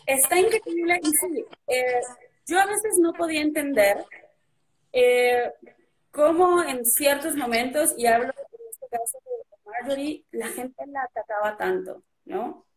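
A woman in her forties speaking earnestly and with measured emphasis as a guest on a video call. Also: high-pitched, animated, rough recording. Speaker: guest on a live stream. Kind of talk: earnest heartfelt monologue. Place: room with a blue backdrop. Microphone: webcam video call.

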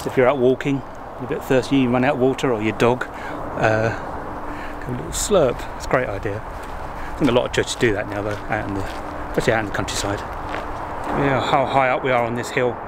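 Brief: vlogger, fifties, rambling chat, constant motorway noise behind. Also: male voice, mid-range voice. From England. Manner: chatty, rambling, pauses to muse. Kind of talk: casual walking vlog. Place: outdoors by a country churchyard near a motorway. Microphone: handheld camera at arm's length. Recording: loud traffic noise behind.

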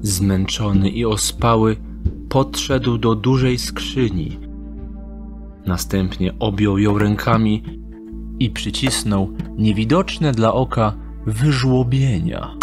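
A man narrating a radio drama in a calm, measured storytelling manner. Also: fairly low pitch. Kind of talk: scripted audio drama narration. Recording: narration over music score.

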